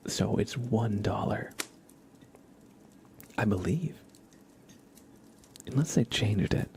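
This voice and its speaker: A man hosts an ASMR livestream, chatting casually and gently in a hushed whisper, close to the microphone. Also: fairly low pitch, lively intonation, takes it slowly, a little hiss or hum. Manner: casual, friendly, thanking viewers. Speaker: livestream host. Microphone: close microphone with compression and limiter.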